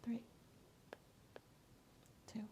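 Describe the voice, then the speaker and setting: A woman playing the patient in a soft-spoken ASMR exam role-play, giving brief, quiet answers close to a whisper. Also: very slow, slight background noise.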